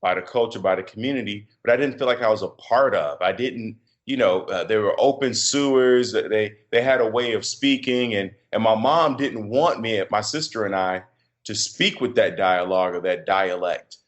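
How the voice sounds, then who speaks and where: A middle-aged man from Chicago with a deep voice, a podcast guest talking in a reflective, halting way with many fillers and restarts. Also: muffled recording. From Chicago, USA. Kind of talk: casual interview conversation.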